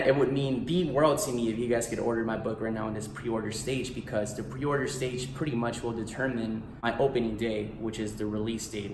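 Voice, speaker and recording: Picture a man in his thirties who grew up speaking Spanish, chatting straight to camera in a loose, heartfelt way. His voice sits at an ordinary middle pitch. The room around him rings with a noticeable echo, and a faint hiss lies underneath. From United States. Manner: eager and heartfelt, full of "like" and "you know". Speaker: actor and author. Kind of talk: casual self-promo vlog. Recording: roomy echo in a small room.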